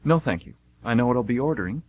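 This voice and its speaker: A man acts a young man in his twenties in a radio play, casual with a guarded edge. His voice sits at a medium pitch. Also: animated, fast talker, muffled recording, natural voice. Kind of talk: amateur radio play acting.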